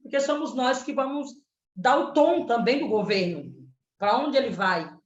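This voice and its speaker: A woman in her thirties from Pernambuco speaks with earnest activist conviction, often tagging her points with questions. Her voice sits fairly high. It comes through a roomy, dull lo-fi line, like a video call. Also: expressive, moderate pace. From Pernambuco, Brazil. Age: 30s. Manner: earnest, activist, frequent "né?" tags. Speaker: candidate for state legislature. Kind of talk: panel debate answer.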